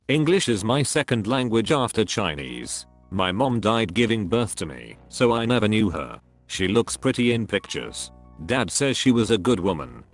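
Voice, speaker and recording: A text-to-speech male voice with a somewhat low pitch narrates forum posts in a flat, mechanical read. It spells out symbols word for word. Faint music plays quietly underneath. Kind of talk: reading forum stories aloud.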